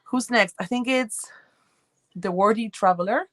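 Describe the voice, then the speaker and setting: A woman's voice: a little high, a little slow, a bit bright, no room sound. Chatty woman with an Argentine accent. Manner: chatty, rambling, reads and answers chat comments. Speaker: livestream host. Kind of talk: casual livestream chat with viewers.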